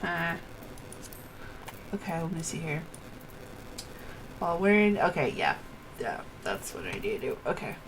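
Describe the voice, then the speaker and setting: A woman's voice: low-pitched, big pitch swings, measured pace, pauses often, a little hiss or hum, rough recording. A woman streaming a game live, making casual, muttered remarks to herself as she plays. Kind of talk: casual live gameplay commentary, reading dialogue aloud.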